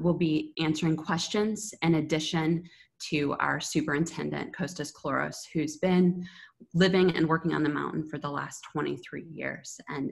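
A woman in her thirties hosts an online public briefing over a video call. Her voice sits low, with husky grain and noticeable vocal fry. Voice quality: husky, creaky. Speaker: moderator of a public update. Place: indoor room at home on a video call.

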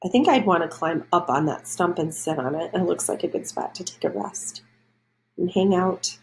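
A woman's voice: lowish voice, moderate pace, darkish tone, slight background noise, rough recording. A woman reading a children's storybook aloud, adding explanations and questions for young listeners.

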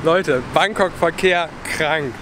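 A man's voice: fairly high pitch, takes it slowly. A man in his thirties chatting and joking in a casual travel vlog, filming himself at arm's length outdoors. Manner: chatty, joking, addresses viewers directly. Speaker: travel vlogger. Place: outdoors in a city park and on a pedal boat. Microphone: handheld camera at arm's length. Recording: loud outdoor city noise.

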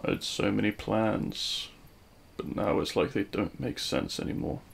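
A man playing a regretful, lovelorn supervillain in an audio roleplay, speaking in a hushed, halting, wistful way. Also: fairly low pitch, steady tone, vocal fry, slight background noise. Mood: regretful, empty. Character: regretful lovelorn male supervillain addressing his fallen hero. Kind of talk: scripted ASMR audio roleplay monologue.

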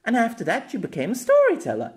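An earnest young woman in a fantasy audiobook, as a male narrator puts her on, pitched high and swooping. She speaks in formal, old-fashioned phrases with eager little hesitations. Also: wildly swooping pitch, quick pace, heavily acted. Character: earnest, excitable, formal-spoken young woman from another world.

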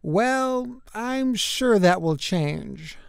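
A woman's voice: medium pitch, animated, very slow, creaky, clear, resonant tone, no echo, clean studio sound, voice pushed up, exaggerated voice. A woman voicing a frank, long-suffering pet dachshund in a storybook reading. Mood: frank, weary. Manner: frank, reasoning.

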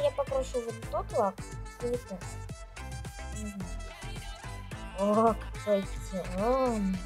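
A woman video blogger chatting casually and ramblingly to herself and her viewers while showing things, chewing gum as she talks. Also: fairly high pitch. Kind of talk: casual chatty nail polish show-and-tell.

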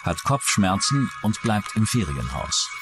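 A man's voice: lowish voice, sing-song, unhurried, music in the background. A man reading scripted narration for a true-crime documentary.

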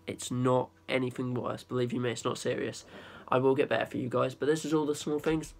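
A man's voice: mid-range voice, little pitch movement, gravelly. Young man, stuffy-nosed, chatty vlogger. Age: young adult. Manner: rapid, chatty, repeats "guys". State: stuffy from a cold. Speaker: YouTube singer, channel host. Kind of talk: casual vlog talk and karaoke singing. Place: small room indoors. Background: backing music.

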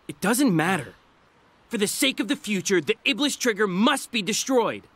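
A man's voice: sits high, expressive, moderate pace, fairly bright tone, no echo. A man playing a grim, accusing young hedgehog avenger in a video game, earnest and solemn. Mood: grimly determined. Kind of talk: video game cutscene acting. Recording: music under the voice.

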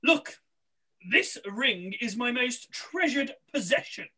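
A woman's voice: on the high side, lively intonation, slow pace, pushed and bright, heavily acted. A woman playing a scheming, impatient male pantomime villain in a theatrical, insistent read-through. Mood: coaxing. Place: indoors at home, on a video call.

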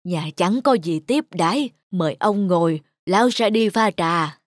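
A woman voicing a poor, humble old man who is a tea connoisseur in a cartoon folktale, speaking in a slow, courteous, old-fashioned way. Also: on the low side, lively intonation. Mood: humble polite. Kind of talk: dubbed cartoon folktale acting.

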